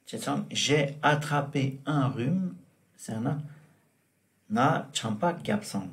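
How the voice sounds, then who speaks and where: A man teaching French vocabulary, slow and deliberate, repeating each word and example as he explains it. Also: mid-range voice, expressive. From Tibet. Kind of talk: vocabulary lesson explained in Tibetan.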